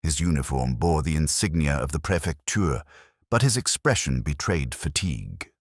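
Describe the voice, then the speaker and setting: A man's voice: very deep voice, lively intonation, normal speed, no echo, clean studio sound. A man reading a scripted dramatic story as narrator, in a formal, solemn, literary manner. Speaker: audiobook narrator.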